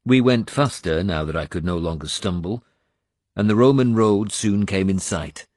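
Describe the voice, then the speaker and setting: A man narrating first-person historical fiction as a scripted audiobook, with measured, dramatic storytelling. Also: low voice, darkish tone, no echo, studio quality.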